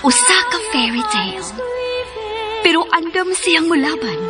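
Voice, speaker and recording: A woman's voice: very high voice, sing-song, a little slow. A woman announcing a radio drama from a script, theatrical and emphatic. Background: clear music.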